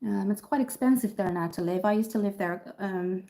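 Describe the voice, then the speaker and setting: A woman's voice: mid-range voice, moderate pace, warm tone. A woman from Dublin chatting casually on a beauty livestream, upbeat and full of ums as she answers viewer comments. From Ireland, Dublin. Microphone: phone camera.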